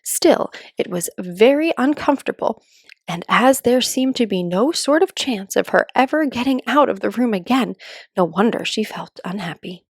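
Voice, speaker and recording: A woman reads a children's story aloud in a calm storyteller's style. Her voice sits a little high, and the sound is dry, with hardly any room around it. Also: moderate pace, husky, no echo.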